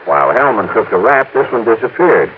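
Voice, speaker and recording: An actor playing a chatty, folksy sporting goods shopkeeper in a 1950s radio drama, talking in a familiar, drawn-out way. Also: male voice, lowish voice, big pitch swings. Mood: knowing, curious. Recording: tinny, noisy old radio recording.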